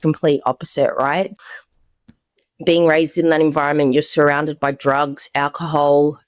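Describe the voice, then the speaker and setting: Aussie woman, pretty low voice, dry close sound, just talking openly about her life. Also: flat delivery, unhurried, clear, resonant tone, dry recording. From Australia. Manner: candid, conversational. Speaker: guest. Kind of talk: personal testimony.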